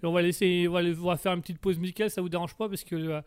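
A man's voice: high-pitched, flat delivery, racing, clean tone, dry recording, clean studio sound. A man hosting a live call-in radio show who speaks hesitantly and gets flustered, with frequent filler sounds. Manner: hesitant, flustered, lots of "euh".

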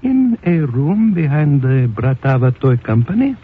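Raspy, formal Czech resistance man. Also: medium pitch, big pitch swings, full character voice. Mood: courteous, pleased. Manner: formal, deliberate phrasing with chuckles. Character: earnest Czech underground resistance man, fatherly and courteous. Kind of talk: 1950s radio drama acting. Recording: phone-like old radio recording.

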